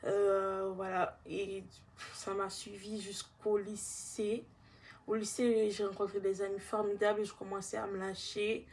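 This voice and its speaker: A young woman from Haiti with a Guadeloupean accent, giving a halting personal testimony in casual speech. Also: medium pitch, flat delivery, a little mellow, husky. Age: young adult.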